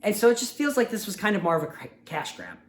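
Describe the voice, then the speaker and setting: A man's voice: high voice, wildly swooping pitch, quick pace, a little hiss or hum. A man hosting a casual movie review show, sick, sniffling and with his voice fading, talking in a rambling, heartfelt way. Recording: roomy echo.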